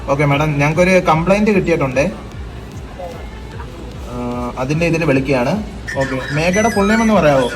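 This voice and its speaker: A man's voice: a little high, expressive, normal speed, long pauses, natural voice, low-quality recording. A man playing a child helpline officer on a prank call, politely but hesitantly questioning someone about a complaint. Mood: official, polite. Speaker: prank caller. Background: loud music.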